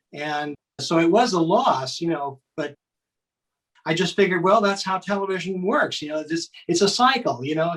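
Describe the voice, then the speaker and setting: An older man talks casually as an interview guest. His voice is high, and he recalls things matter-of-factly with plenty of conversational filler. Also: fairly bright tone, rough recording. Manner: matter-of-fact, peppers talk with "you know". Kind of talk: casual reminiscing.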